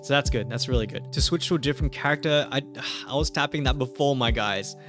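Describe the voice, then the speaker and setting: A young man chatting casually as he plays through a mobile game, excitable and quick to laugh, recorded at a home setup on a desk mic. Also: mid-range voice. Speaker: gaming youtuber. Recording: music playing behind voice. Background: game music.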